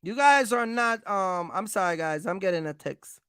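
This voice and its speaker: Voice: medium pitch, lively intonation, moderate pace, fairly bright tone, clear, resonant tone, dry recording, studio quality. An American livestream host giving informal business advice, speaking emphatically, repeating points and checking in with listeners.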